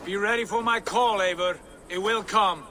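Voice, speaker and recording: An actor playing a brisk, decisive Viking lord in a game cutscene, speaking with authority. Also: male voice, high voice, steady tone, bright, forceful tone, a little hiss or hum. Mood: brisk, assured. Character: brisk, commanding Viking lord planning alliances. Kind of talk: video game cutscene acting.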